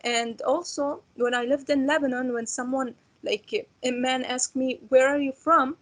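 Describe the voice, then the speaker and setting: A woman's voice: high voice, fairly even intonation, moderate pace, a bit bright. Hesitant young Syrian woman. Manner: hesitant, many fillers and restarts. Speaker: refugee student panelist. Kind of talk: roundtable discussion.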